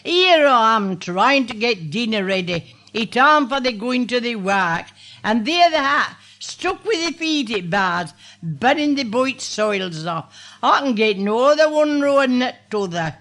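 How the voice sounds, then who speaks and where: A nagging Yorkshire housewife, as an elderly woman reciter puts her on, telling off her clumsy husband in thick dialect. She sounds loud and exasperated, in a fairly high voice that keeps pushing forward. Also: animated, unhurried. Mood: scolding. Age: 80s. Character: scolding Yorkshire housewife making dinner.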